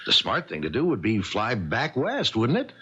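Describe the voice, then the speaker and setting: An actor playing a sceptical, level-headed friend in a 1970s radio mystery, wry and reasoning in manner. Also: male voice, mid-range voice, wildly swooping pitch, normal speed, fairly bright tone, slightly rough, exaggerated voice. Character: sceptical, level-headed confidant, wryly reproachful male friend. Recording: dull vintage radio recording.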